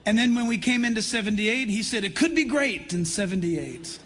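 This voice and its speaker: An American man, a middle-aged or older pastor from California, addressing a church conference in an earnest, conversational preaching style with deliberate pauses. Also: high-pitched, expressive, a bit bright, slight background noise. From California, United States. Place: large church hall. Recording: reverberant large hall, live PA sound.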